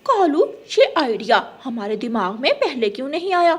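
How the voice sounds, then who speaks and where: A woman voices a sly cartoon crow wife with a high voice. She is mocking and conspiratorial, and delivers her lines with animation for a children's story. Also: big pitch swings, normal speed, breathy edge, heavily acted. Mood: gloating. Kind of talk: Hindi children's cartoon dialogue.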